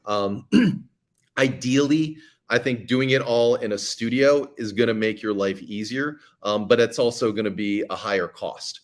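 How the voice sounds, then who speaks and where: A man's voice: medium pitch, a little slow. A man being interviewed as a guest, a fiction podcast producer answering questions with enthusiasm.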